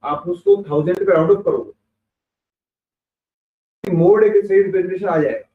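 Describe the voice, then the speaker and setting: Guy teaching a class, bits, kinda high voice, far off and echoey like a phone recording. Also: very animated, normal speed, narrow, tinny audio. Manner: explains step by step with rhetorical questions. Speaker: instructor. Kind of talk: classroom lecture.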